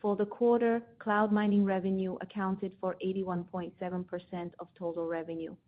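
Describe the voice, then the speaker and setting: A woman's voice: mid-range voice, flat delivery, normal speed. Phone-line woman reading formal financial results. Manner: formal, read-out delivery. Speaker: finance executive. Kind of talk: scripted financial results presentation. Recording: tinny phone-line conference call audio.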